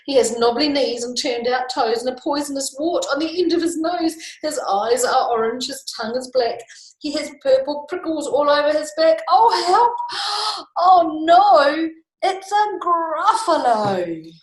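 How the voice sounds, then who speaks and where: A woman's voice: medium pitch, sing-song, takes it slowly, echoey room, low-quality recording. A woman voicing a small, clever male storybook mouse who is boastful and chirpy. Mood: frightened.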